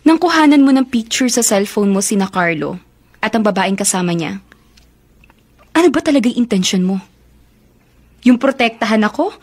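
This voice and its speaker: A woman's voice: medium pitch, animated, normal speed, long pauses, close to an everyday voice. A woman playing an emotional young daughter and girlfriend in a Filipino radio drama. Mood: stern, demanding.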